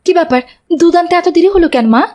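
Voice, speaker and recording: A woman's voice: very high voice, expressive, quick pace, mellow. A woman voicing a doting, trusting village mother in a children's cartoon, speaking affectionately and expressively. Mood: questioning. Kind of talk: children's moral cartoon dubbing.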